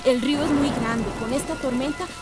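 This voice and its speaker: A woman's voice: sits high, fairly quick, lightly acted. Concerned young village lady played by an actress. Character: concerned, cautious but loyal village señorita. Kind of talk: radio soap opera acting. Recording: radio drama under loud music.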